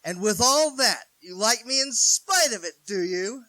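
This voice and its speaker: A stern old grandfather, played by a man, questions a girl with gruff, dry probing. His voice sits high and bright and swings widely. The sound is close and dry. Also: expressive, measured pace, dry recording, exaggerated voice, low-quality recording. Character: gruff elderly rich grandfather, stern but kind. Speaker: radio play actor. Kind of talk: 1940s radio acting.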